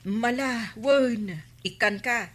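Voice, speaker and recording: An actress with an Ilocano accent playing a reluctant village shopkeeper in a radio comedy drama. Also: female voice, fairly high pitch, takes it slowly.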